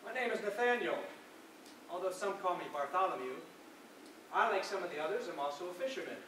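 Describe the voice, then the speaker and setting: Devout fisherman disciple played by a man, earnest stage monologue, high voice, very echoey and far from the mic. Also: animated, a little fast, a bit bright. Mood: earnest recollection. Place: large reverberant indoor hall, recorded from afar. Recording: very echoey hall, distant mic, noisy.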